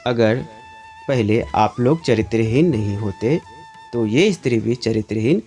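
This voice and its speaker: Wise male monk, read by a man, storybook tale, calm preaching manner, expressive melody, music underneath. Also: medium pitch, a little slow. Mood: calm, teaching. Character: serene wise male monk teacher, calmly instructive. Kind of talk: storybook narration dialogue.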